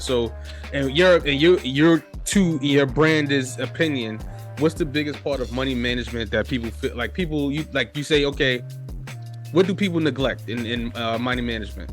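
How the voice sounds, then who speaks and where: A man's voice: medium pitch, brisk, faint music behind. A man hosting a casual podcast interview, talking loosely with many restarts and fillers. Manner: loose, restarts often, lots of "you know". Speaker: podcast host.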